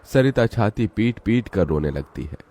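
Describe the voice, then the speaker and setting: A man narrating a scripted cartoon moral story in a dramatic, expressive storytelling style. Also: fairly low pitch, moderate pace.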